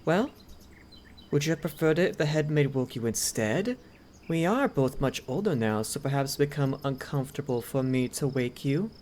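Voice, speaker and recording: A woman's voice: a bass voice, lively intonation, normal speed, a little mellow, a little hiss or hum. A woman voicing a devoted male head butler in an ASMR roleplay, speaking politely and formally to a noble lady. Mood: calm, attentive. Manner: polite, deferential, formal servant speech. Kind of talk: ASMR roleplay, scripted character acting.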